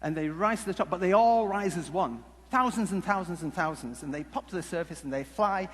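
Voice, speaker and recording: A man giving a humorous conference talk, telling stories in a jokey, halting, rambling way. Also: high voice. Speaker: conference speaker. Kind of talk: humorous stage presentation with storytelling. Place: large conference hall before an audience. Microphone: headset mic. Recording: echoey hall PA with background noise.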